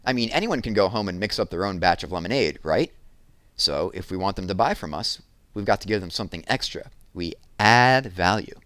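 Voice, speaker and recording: A man's voice: deep voice, expressive, fairly quick, fairly bright tone, no room sound. A man voicing an eager, clever young schoolgirl in a storybook, earnestly explaining things.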